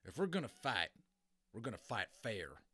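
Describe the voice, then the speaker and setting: A man's voice: lowish voice, big pitch swings, moderate pace, a bit bright, breathy edge, full character voice. A man voicing a tiny, grumbling cowboy with a drawling Old West American accent in a dramatic storybook reading. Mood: blustering challenge. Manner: folksy, drawling, combative. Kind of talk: dramatic audiobook character reading.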